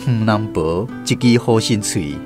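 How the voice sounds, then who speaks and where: A man's voice: fairly low pitch, lively intonation, unhurried, over music. A man with a Taiwanese accent hosting and narrating a scripted radio drama.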